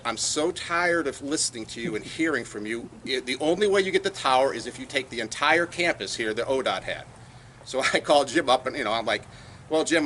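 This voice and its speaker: A man's voice: mid-range voice, pushed and bright, a little hiss or hum. A man giving a speech at an outdoor dedication, telling a story and acting out phone calls. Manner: anecdotal, acts out phone calls in quotes. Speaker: speaker at a tower dedication. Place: outdoors at a radio club site.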